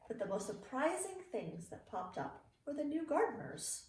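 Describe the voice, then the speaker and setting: Middle-aged female teacher, children's storybook read-aloud, very animated intonation, recorded in a roomy space away from the mic. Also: sits high, normal speed. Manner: expressive, clearly phrased storytelling for young children. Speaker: early learning teacher reading to students. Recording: roomy, distant mic with faint noise.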